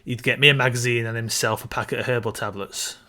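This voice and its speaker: A man, co-hosting a casual podcast and recalling things in a halting, circling way. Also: mid-range voice, fairly even intonation, pushed and bright. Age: early 30s. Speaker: podcast co-host reviewing films. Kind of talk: casual chat, reminiscing.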